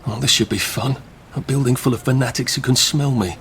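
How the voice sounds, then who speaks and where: A man's voice: mid-range voice, fairly even intonation, fairly quick, fairly bright tone, slight background noise, lightly acted. An actor playing an anxious, wry Englishman in a video game, muttering to himself with a British accent. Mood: wry, nervous. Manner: wry, muttering, dryly sarcastic. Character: anxious, wry, fussy English man on the run. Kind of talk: video game character monologue, talking to himself.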